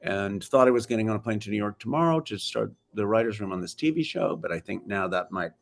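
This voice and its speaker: A man's voice: fairly low pitch, lively intonation, quick pace, creaky. An older American man, a film director, talking casually on a video call and often restarting his sentences. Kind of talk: casual interview chat.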